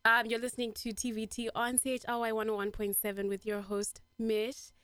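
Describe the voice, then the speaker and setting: A woman's voice: on the high side, monotone, a little fast, a bit bright, clear, resonant tone, no echo. A woman hosting a radio show, chatting casually with a guest in an interview.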